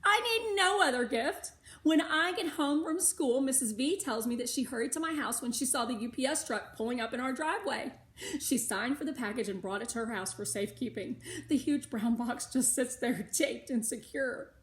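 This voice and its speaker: A woman with an American accent reading a storybook aloud for schoolchildren in an animated, emphatic way. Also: fairly high pitch, moderate pace. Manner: animated, emphatic, with occasional asides. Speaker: read-aloud narrator for schoolchildren. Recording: roomy, echoing indoor sound.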